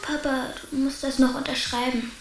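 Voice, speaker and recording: A woman's voice: sits high, level tone, normal speed, vocal fry, over loud music. A woman playing an eager, candid schoolboy in a German children's radio drama. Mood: hopeful, hesitant. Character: Anton, eager schoolboy, candid and a little stubborn. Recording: hissy, roomy recording.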